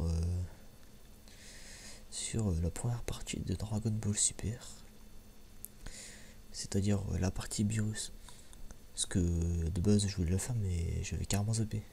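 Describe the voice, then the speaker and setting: A man with a very low, gravelly voice speaks softly, almost whispering at times, in a casual ASMR manner. He is hesitant, trailing through repeats and pauses. The sound is a little muffled, with some background noise. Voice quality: soft, hushed voice, sometimes near whisper. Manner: hesitant, halting, frequent repeats and filler pauses. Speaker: ASMR video creator and host. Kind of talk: soft-spoken ASMR, casual unscripted review. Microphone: microphone held a little away from mouth.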